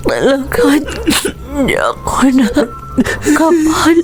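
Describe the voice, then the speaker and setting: An actress playing a frail, determined pregnant wife in a radio melodrama, weak, breathy and tearfully pleading. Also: female voice, high voice, wildly swooping pitch, slow pace, a crowd in the background, heavily acted. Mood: tearful begging. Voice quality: breathy, whispery, sobbing. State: crying, weak. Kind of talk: melodramatic Ilocano radio drama acting. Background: background music.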